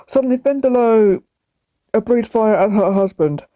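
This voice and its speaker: A woman reads a story aloud as the narrator. Her voice is fairly high and mellow, recorded dry, and she occasionally trips over words and starts them again. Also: moderate pace, dry recording, lo-fi sound.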